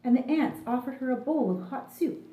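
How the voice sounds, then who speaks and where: A woman narrating a children's story in an expressive, deliberate manner, recorded in an echoey room. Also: high voice.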